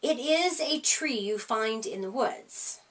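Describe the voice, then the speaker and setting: A woman's voice: on the high side, lively intonation, takes it slowly, recorded from a distance. A woman voicing a small, cheerful, modest female mouse in a storybook, eagerly explaining in short sentences.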